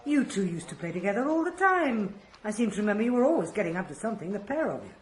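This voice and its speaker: A woman's voice: mid-range voice, big pitch swings, fast, natural voice. An actress playing a practical aristocratic mother, gracious but firm and reproving, in a radio drama.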